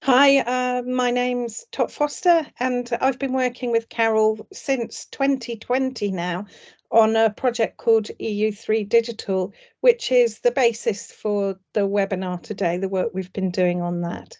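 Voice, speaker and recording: A woman co-presenter briefly introducing herself in a relaxed way. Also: a little high, a little slow, highs cut off.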